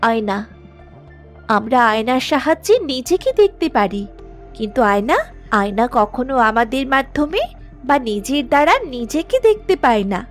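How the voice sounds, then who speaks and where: A woman's voice: a little high, lively intonation, unhurried, faint music behind. A woman voicing a brave, earnest young princess in a fairy-tale cartoon, speaking expressively. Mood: confident.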